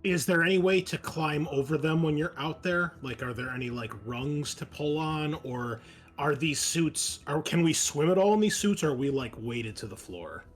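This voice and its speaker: A man's voice: a little high, lively intonation, moderate pace. A man chatting casually as a player in a tabletop roleplaying game.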